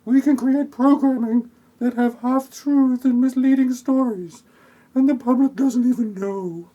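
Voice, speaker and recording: A man's voice: very high voice, fairly even intonation, measured pace, dark tone, pitched above the performer's own voice, low-quality recording. A man playing a smug, callous corrupt executive in an amateur parody audio play. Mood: smug, put-on high.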